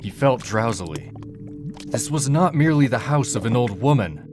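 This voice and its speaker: A man reading a dark fairy tale as a dramatic, scripted storyteller. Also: mid-range voice, lively intonation, moderate pace. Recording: music behind the voice.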